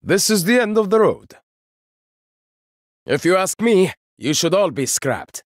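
A proud young inventor-warrior from a video game, with a slightly high voice that swings lively up and down. He speaks slowly and deliberately, confident and dryly witty. The sound is close and dry, with no room around it. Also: dry recording, full character voice. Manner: measured, proud, dry wit. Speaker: game character.

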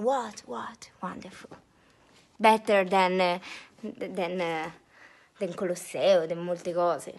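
A woman's voice: a little high, fairly bright tone. A woman in her twenties with an Italian accent, giving a playful, mock-glamorous tour of her small apartment in a mix of clumsy English and Italian, filmed on a phone at arm's length indoors. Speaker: vlogger giving a parody house tour. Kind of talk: comic home tour vlog. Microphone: phone held at arm's length.

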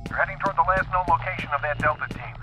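A man acting as a special forces coordinator briefs his team in a brisk, businesslike way. His voice is fairly high, with loud music behind it in a game scene. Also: natural voice, low-quality recording. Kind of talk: video game radio briefing.

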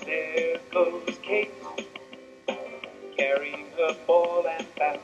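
Male singer, high and unhurried, children's song over music. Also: flat delivery. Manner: clear, slow, cheerful sing-along delivery. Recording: lo-fi old song track. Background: band music.

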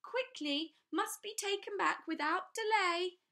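A woman reading a storybook, voicing a thin, small, nervous man with a high squeaky voice. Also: measured pace, sharp tone, heavily acted. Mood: agitated.

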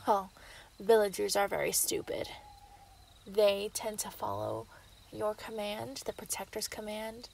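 Slow, menacing werewolf woman acted out by a woman. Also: mid-range voice, animated, creaky, a little mellow, a little hiss or hum. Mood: gloating menace. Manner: taunting, drawn-out, laughing delivery with whispered threats. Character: menacing, gloating yandere female werewolf, possessive and mocking. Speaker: roleplay voice actor. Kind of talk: scripted ASMR roleplay acting.

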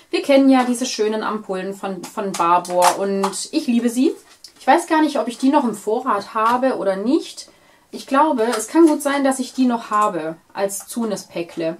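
A woman in her thirties with a cold, chatting enthusiastically as she unboxes beauty products on camera in a small bright room. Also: fairly high pitch. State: stuffy, with a cold. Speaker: beauty vlogger. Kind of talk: casual unboxing chat.

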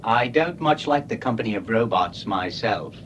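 An actor voicing a prim, cautious and loyal ship's robot in a storybook space drama. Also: male voice, lowish voice, expressive, moderate pace, background noise, close to an everyday voice. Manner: prim, formal.

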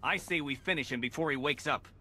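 A man's voice: fairly high pitch, fast, pushed and bright. A man voicing a hot-headed, impatient armed man in a video game, urgent and agitated. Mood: urgent anger. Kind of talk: video game drama acting.

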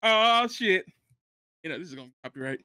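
A man's voice: high-pitched, expressive, a little fast, sharp tone, smooth, no echo. A young man giving casual, exclamatory, sweary reaction commentary on a film into a close studio mic. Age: young adult. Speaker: reaction video co-host. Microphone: close studio mic on boom arm. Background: film music.

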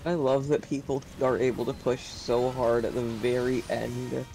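A man on voice chat making low-key comments about the game. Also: little pitch movement, normal speed, gravelly, warm tone, soft background music, low-quality recording. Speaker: friend in voice chat. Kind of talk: casual gaming chat.

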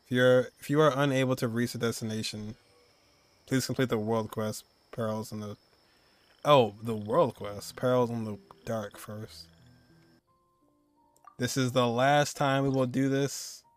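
A man's voice: medium pitch, steady tone, measured pace, pauses often, no room sound. A man in his twenties giving casual live reaction commentary as he streams a game. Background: game music.

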